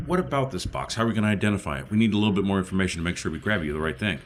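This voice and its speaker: A man's voice: low-pitched, expressive, rapid-fire. A man chatting casually as a player in a tabletop role-playing game. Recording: faint background noise.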